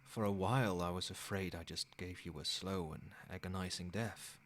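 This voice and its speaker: A man plays an ancient male vampire sire with a low, soft-spoken voice. He talks in measured, formal phrases, soothing yet possessive, in the intimate style of an ASMR roleplay. There is noticeable noise behind the voice. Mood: grave, confessional.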